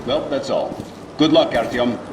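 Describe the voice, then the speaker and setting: A man's voice: mid-range voice, measured pace, close to an everyday voice. A man playing a terse survivor guide in a post-apocalyptic video game, giving instructions. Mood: matter-of-fact guiding. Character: gruff adult male survivor guide in post-apocalyptic Metro. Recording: roomy, distant, clearly noisy game audio.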